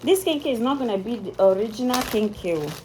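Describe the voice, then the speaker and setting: A woman's voice: on the high side, animated, a little fast, noisy background. A woman in her thirties with a Ghanaian accent, chatting casually to her camera while she cooks in a home kitchen. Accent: Ghanaian, mixed with Italian and British touches. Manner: chatty, lots of "like" and restarts, shifts accents playfully. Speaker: vlogger. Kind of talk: casual vlog chat while cooking. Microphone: phone camera on the counter, filming from below.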